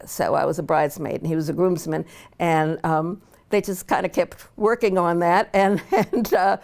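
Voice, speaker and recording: An older woman with a somewhat low-pitched voice recounts her life story in an oral history interview. She speaks in a reflective, unhurried way, often pausing on fillers and restarting her sentences. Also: fairly even intonation, gravelly, a little mellow. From Pittsburgh, Pennsylvania. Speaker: law professor interviewee.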